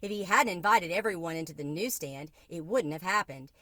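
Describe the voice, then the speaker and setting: A woman reading a children's book aloud in an expressive narrating style. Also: lowish voice, fairly quick, no echo, studio quality. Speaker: storybook reader.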